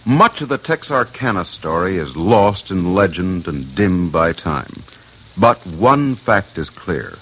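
A man's voice: low voice, very animated, takes it slowly, a bit bright, rough recording. A man presenting grave, scripted documentary narration.

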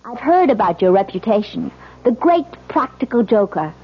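An actress playing a newlywed wife in a 1970s radio drama, sardonic and deliberate as she confronts a schemer. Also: a little high, big pitch swings, slightly rough. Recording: dull lo-fi 1970s radio recording.

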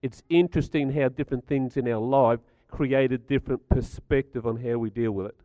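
Middle-aged man with a croaky voice, chatty talk to an audience, mid pitch, dry muffled recording. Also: steady tone, brisk, dark tone, no echo. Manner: chatty, stats-driven, asks the audience questions. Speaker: speaker at a community gathering.

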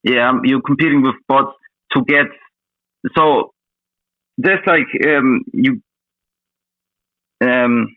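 Russian native guy chatting as interview guest, kinda halting, sounds like a dry phone line. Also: mid-range voice, no echo. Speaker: interview guest, meme coin trader. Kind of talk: casual interview chat. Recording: thin phone-like voice-call audio.

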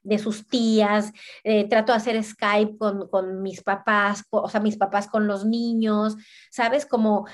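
A woman's voice: medium pitch, flat delivery, measured pace. A woman, talking animatedly as a guest and often repeating words as she searches for them. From Mexico. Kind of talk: casual conversation.

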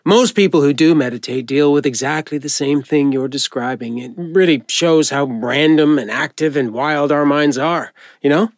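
A man playing a friendly, informal doctor who gently encourages and reassures a patient in an audio drama. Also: fairly high pitch, moderate pace, few pauses, no echo, clean studio sound, lightly acted. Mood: friendly, upbeat. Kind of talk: naturalistic audio drama acting.

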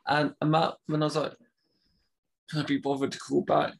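A man's voice: on the high side, completely flat delivery, normal speed, creaky, breathy edge. A man who stammers, talking casually and openly with many fillers. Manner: stammers, talks openly about it. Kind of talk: casual conversation.

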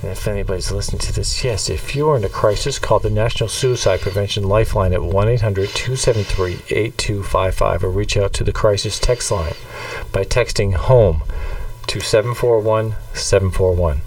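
A man's voice: lowish voice, normal speed, darkish tone, distant mic, rough recording. A man with a Boston accent co-hosting a podcast in casual, playful, rambling banter, a little away from the microphone. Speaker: podcast co-host.

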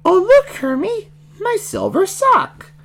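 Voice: very high-pitched, wildly swooping pitch, takes it slowly, creaky, a bit bright, voice pushed up, exaggerated voice. A performer with a woman's voice playing a bossy, prim little girl piglet in a children's storybook reading. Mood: earnest. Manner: bossy, self-important.

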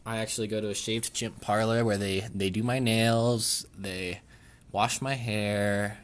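A man hosting a comic podcast with deadpan, mock-formal delivery and many fillers. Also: fairly low pitch, unhurried. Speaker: podcast host.

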